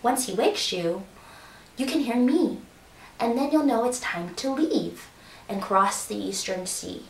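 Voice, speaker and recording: A woman's voice: lively intonation, unhurried. A woman voicing a small, helpful bluebird in a children's story, speaking in a chirpy way. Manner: high, chirpy. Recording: roomy echo, distant mic.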